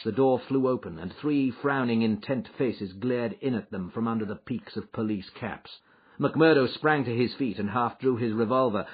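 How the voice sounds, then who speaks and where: A man narrates a dramatic audiobook. His voice is husky with a middling pitch, and his reading is scripted storytelling. The recording sounds slightly dull.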